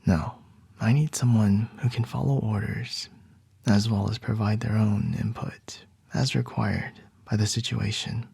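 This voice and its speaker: A controlling young CEO boss, as a man puts him on, talking close to the mic in a husky, gravelly murmur that often falls to a whisper. He takes his time over every word, and the sound is dry and intimate, with no room behind it. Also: steady tone, dry recording, exaggerated voice. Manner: soft low whispering, measured and domineering. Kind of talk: ASMR roleplay.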